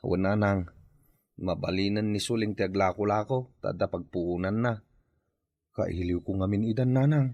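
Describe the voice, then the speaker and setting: A man's voice: on the low side, fairly even intonation, moderate pace, dark tone, no echo, dull audio, close to an everyday voice. A man with a Filipino Ilocano accent playing a hungry, jobless father who pleads plaintively in a radio comedy drama. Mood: wistful, pleading. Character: hungry, pleading jobless husband and father longing for his family.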